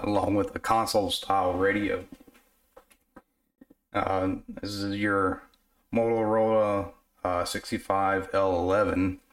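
Hoarse man, very low and monotone, easygoing workshop talk with plenty of "uh"s. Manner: relaxed, filler-heavy explaining while working. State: hoarse from illness. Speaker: radio repair technician. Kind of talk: casual workshop narration. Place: workbench in a home repair shop.

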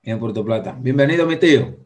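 A man's voice: medium pitch, lively intonation, a little fast, darkish tone. A Dominican man hosting an interview over a video call, asking questions and telling family anecdotes in a chatty, rambling way. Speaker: host. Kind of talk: casual interview chat. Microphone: video call, earphone mic.

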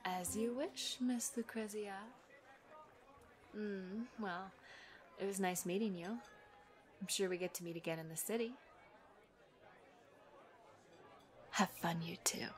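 A woman's voice: mid-range voice, unhurried, long pauses, close to an everyday voice. A woman voicing a sly, flirtatious tavern temptress in a fantasy audio roleplay, coy, teasing and mock-polite. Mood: smug, coy. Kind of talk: ASMR roleplay acting. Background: music.

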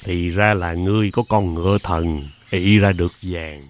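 A man playing a greedy, scheming rich old father in an animated fairy tale, pompous and drawling with hesitant filler sounds. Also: low voice, normal speed, slight background noise, natural voice. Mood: sly, suspicious. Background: background music.

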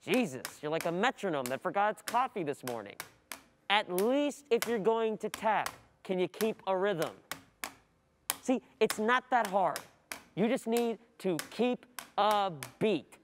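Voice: mid-range voice, expressive, a little slow, background noise, lightly acted. An actor playing an irritated, distracted student in a radio play monologue, exasperated and sarcastic.

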